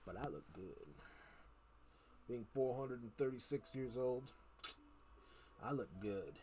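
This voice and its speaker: A man's voice: mid-range voice, expressive, slow pace, dark tone. A man playing a very old, vain wizard storyteller who rambles and mutters wry asides. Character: wizard over four hundred years old, vain, grumbling storyteller. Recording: noticeable background noise.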